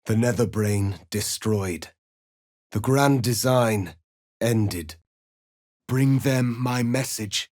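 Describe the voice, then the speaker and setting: An actor playing a proud, righteous rebel warrior prince in a fantasy video game, speaking in a solemn, formal, declamatory way. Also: male voice, fairly low pitch, extremely slow, pauses often, darkish tone, no echo, studio quality. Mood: grave, resigned.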